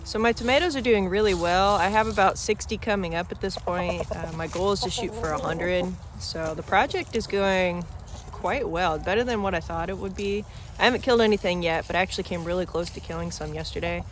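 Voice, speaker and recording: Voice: medium pitch, fairly quick. A farmer vlogging their small farm in an easygoing, chatty way, sounding a bit nasal and stuffed up. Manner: easygoing, chatty, many ums and uhs. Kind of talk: casual vlog narration. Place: outdoors on a farm, by a chicken coop. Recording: noisy outdoor recording.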